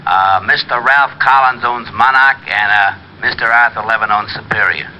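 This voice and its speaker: An actor playing a dutiful police sergeant reporting over car radio in an old radio drama. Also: male voice, on the low side, moderate pace, pushed and bright, slight background noise, low-quality recording. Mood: matter-of-fact. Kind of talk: 1940s-50s radio drama acting.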